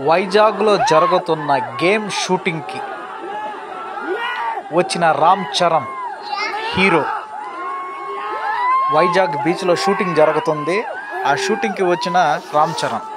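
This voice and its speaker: A man's voice: high voice, a little slow, long pauses. A man presenting a vlog as the channel's host. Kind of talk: vlog commentary. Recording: very noisy outdoor recording amid crowd. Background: loud crowd noise.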